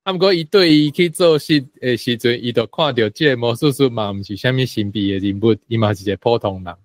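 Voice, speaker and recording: A man's voice: medium pitch, fast, dry recording. Taiwanese man in a dry, close discussion. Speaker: guest panelist. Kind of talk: conversational literary discussion.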